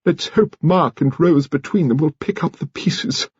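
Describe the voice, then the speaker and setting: A British man voicing a dignified, upper-class old lady in an audiobook, proud and resigned, with slow, emphatic delivery. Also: a little high, slightly rough, dry recording, lo-fi sound, voice pushed up. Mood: grave, resolute.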